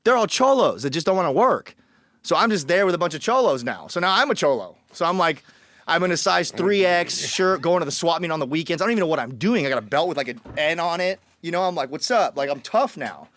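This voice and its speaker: A man's voice: a little high, expressive, fast, fairly bright tone. A man from Colombia telling his story as a podcast guest, blunt and joking, acting out the people he quotes. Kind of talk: casual storytelling.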